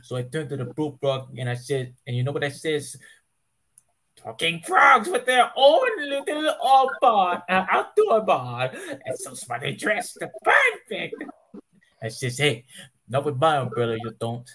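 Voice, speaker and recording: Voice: medium pitch, wildly swooping pitch, unhurried, a bit bright, heavily acted. A performer putting on a brash, joking frog lounge comic with gangster swagger, in an amateur cartoon script read. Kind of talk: amateur group script read of animated film.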